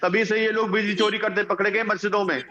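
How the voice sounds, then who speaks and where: A man's voice: sits high, level tone, quick pace, a crowd in the background. A man arguing as a debate panelist in an accusatory, insistent way over dull call-in audio. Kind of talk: heated political argument.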